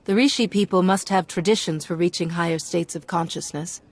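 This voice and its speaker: A woman playing a composed, earnest Jedi hero in a video game, speaking in a measured, formal and inquisitive manner. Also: on the low side, close to an everyday voice. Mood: thoughtful, formal. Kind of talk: scripted video game dialogue.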